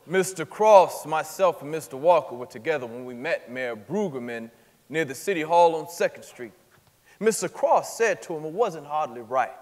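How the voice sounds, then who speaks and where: A man's voice: on the high side, animated, moderate pace, darkish tone, close to an everyday voice. A man playing a respectful but firm witness in a staged period courtroom drama reading. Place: large theater stage, indoors. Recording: hall reverb from a theater stage.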